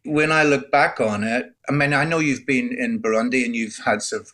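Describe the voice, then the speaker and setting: A man in his sixties from Northern Ireland, a guest telling his life story in a relaxed, conversational way, full of "you know". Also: medium pitch, normal speed. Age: 60s. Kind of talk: candid storytelling.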